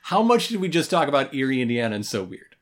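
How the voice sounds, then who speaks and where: A podcast co-host in their thirties chatting casually in a review, often pausing mid-thought. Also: medium pitch, very animated. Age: 30s. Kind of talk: casual review chat about a cartoon.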